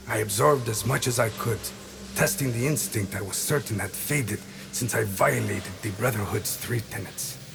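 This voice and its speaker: An actor playing a weary, guilt-ridden but resolute veteran assassin, narrating his past in a solemn, measured video game voice-over. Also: lowish voice, gravelly. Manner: brooding, measured, literary.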